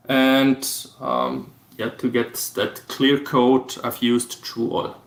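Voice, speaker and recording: A man from Austria whose first language is German, speaking English with an Austrian German accent. He hosts a casual livestream and explains things step by step in a halting, low-key way. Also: a bit bright. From Austria (Vorarlberg). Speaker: livestream host. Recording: roomy echo.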